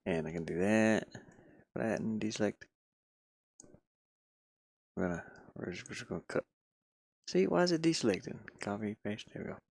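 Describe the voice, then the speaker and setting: Low, creaky-voiced man muttering casually. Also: darkish tone, no room sound, clean studio sound. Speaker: game developer streaming his pixel art work. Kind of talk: casual think-aloud work commentary.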